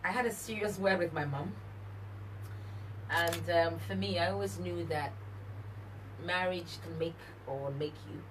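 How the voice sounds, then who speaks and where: Low-voiced woman, distant mic. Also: a little slow, long pauses. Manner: laughs often, tells anecdotes with quoted dialogue. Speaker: gospel singer as interview guest. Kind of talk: candid, animated conversational storytelling. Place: radio studio. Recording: distant mic with audible background noise.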